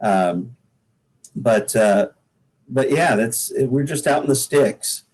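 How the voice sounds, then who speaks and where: A man's voice: medium pitch, slow, a little mellow. An American man from the Pacific Northwest, a guest singer-songwriter, chatting casually in a folksy, rambling way and repeating words as he goes. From United States, Pacific Northwest. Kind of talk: casual podcast chat.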